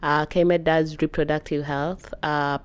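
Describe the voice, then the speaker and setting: A woman's voice: sits low, little pitch movement, a little slow, clear, resonant tone. A young woman with a Kenyan accent introducing herself and her work as an advocate. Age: young adult. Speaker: reproductive health advocate. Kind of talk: spoken self-introduction.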